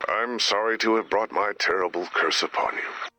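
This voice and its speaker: An actor playing a grave, anxious director cursed to become a werewolf, in an earnest, dramatic video game style with a gravelly voice. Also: male voice, lowish voice, moderate pace, bright, forceful tone, dry recording, faint music behind, poor audio. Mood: worried, grave. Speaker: director of a base. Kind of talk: animated video game voice acting.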